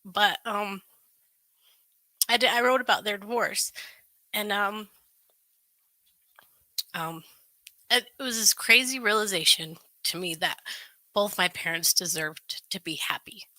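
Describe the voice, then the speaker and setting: Bright-voiced woman sharing casually, dry sound. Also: medium pitch, measured pace, pauses often, no echo. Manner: informal, reflective, conversational. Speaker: panelist on a recovery show.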